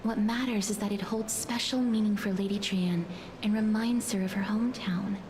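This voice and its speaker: An actress playing a gentle, reserved young noblewoman who speaks formally in a video game. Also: medium pitch, steady tone, normal speed, slight background noise, close to an everyday voice. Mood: reserved, sincere. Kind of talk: scripted video game dialogue.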